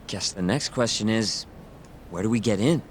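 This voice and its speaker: An actor playing a brash, hot-tempered younger sidekick in an English video game dub, cocky, blunt and slangy. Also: male voice, on the low side, moderate pace, a little hiss or hum, close to an everyday voice. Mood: eager, casual.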